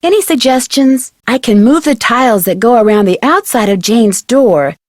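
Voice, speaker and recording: An actress voices a curious, polite young woman sleuth in a video game. Her voice sits on the high side and sounds dry and close. She speaks in clearly enunciated, inquisitive lines. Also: expressive, moderate pace, no room sound, lightly acted. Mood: puzzled, asking. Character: curious, polite young female amateur detective. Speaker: voice actor playing a game's lead character. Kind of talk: scripted video game dialogue.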